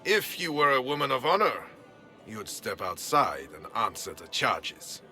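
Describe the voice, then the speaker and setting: A pompous, sneering marquis in a fantasy game. His pitch swings theatrically and the tone is sharp and cutting, dripping with contempt, over music. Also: big pitch swings, a little slow, heavily acted. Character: sneering, pompous aristocratic marquis spoiling for a duel.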